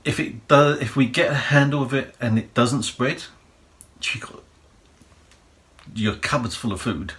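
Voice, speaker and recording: A man with a croaky voice, hosting a prepping channel and giving rambling practical advice full of fillers and "you know"s. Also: medium pitch, measured pace, a bit bright. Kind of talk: casual advice monologue.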